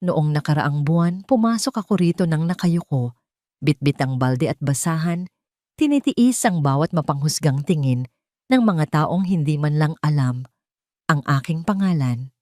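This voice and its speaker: A woman narrating a dramatic first-person story in a solemn, deliberate storytelling manner. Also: low-pitched, lively intonation, a little mellow, dry recording, studio quality.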